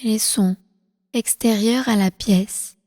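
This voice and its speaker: A woman leading a guided relaxation meditation as instructor, calm and soothing, with slow, deliberate phrasing. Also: mid-range voice, no echo, clean studio sound, long reverb tail. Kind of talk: guided prenatal meditation, yoga nidra.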